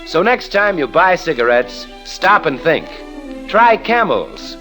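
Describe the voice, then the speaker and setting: A man announcing in a 1930s radio style, reading scripted copy with deliberate, emphatic salesmanship. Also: a little high, big pitch swings, bright, forceful tone, soft background music.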